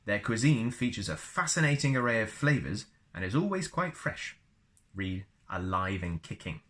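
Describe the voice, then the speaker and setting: A man's voice: on the low side, expressive, moderate pace, fairly bright tone. A man reading a book aloud as a storyteller, chatty and often slipping into casual asides. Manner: chatty, wanders into personal asides.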